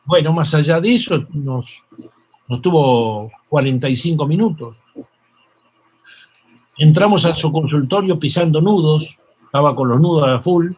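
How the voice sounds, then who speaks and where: An older Argentine man with a Rioplatense accent, a psychoanalyst telling stories in an interview, halting with many fillers and restarts. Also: fairly high pitch, darkish tone, breathy edge, low-quality recording. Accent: Rioplatense Spanish. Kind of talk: reflective interview storytelling.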